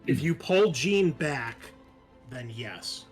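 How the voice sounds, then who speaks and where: A man's voice: medium pitch, animated, takes it slowly, music in the background. A man game mastering a tabletop roleplaying game, explaining rules and narrating the action in casual talk.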